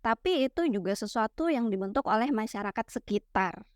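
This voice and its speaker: A young woman from West Sumatra explaining ideas in a relaxed, teacherly chat, full of fillers and tag questions. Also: on the high side, fairly quick, dry recording, clean studio sound. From West Sumatra, Minangkabau. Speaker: guest explaining literary theory.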